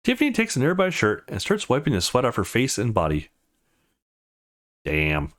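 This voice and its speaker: A man's voice: mid-range voice, wildly swooping pitch, quick pace. A man reading a visual novel aloud as a let's player, acting out the dialogue as he goes. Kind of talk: visual novel read-aloud with character voices.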